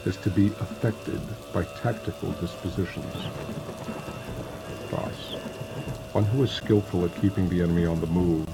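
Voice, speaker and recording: Very deep male narrator over music. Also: rough recording. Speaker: audiobook narrator. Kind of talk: dramatized scripted audiobook narration of an ancient treatise.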